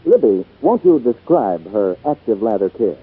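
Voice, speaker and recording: A man's voice: lowish voice, big pitch swings, moderate pace, slightly rough. 1940s male radio announcer, tinny sound. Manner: polished, emphatic sales patter. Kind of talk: scripted 1940s radio advertising.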